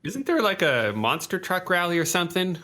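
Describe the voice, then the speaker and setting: A man playing a tabletop game, talking casually and haltingly in character, peppering his questions with "like". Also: sits high, big pitch swings, pushed and bright. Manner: halting, frequent "like". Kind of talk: casual improvised in-character chat.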